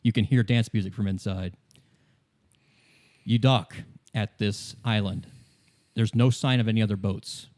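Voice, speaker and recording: Man, game master, improvised tabletop narration, halting, repeated phrases, deep-leaning mellow voice. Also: fairly even intonation.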